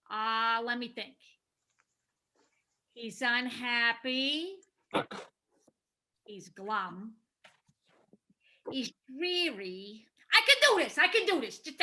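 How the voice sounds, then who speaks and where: A woman voicing an excitable, dim-witted Heffalump henchman creature in a stage play reading, hesitant and exclaiming. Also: fairly high pitch, slow pace, long pauses, exaggerated voice. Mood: eager, fretful.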